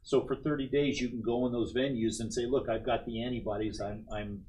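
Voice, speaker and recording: A man's voice: mid-range voice, a little fast, a little hiss or hum, low-quality recording. A man who is a company executive, explaining things step by step on a talk show and often ending points with "right?". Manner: steady explainer, frequent "right?" tags.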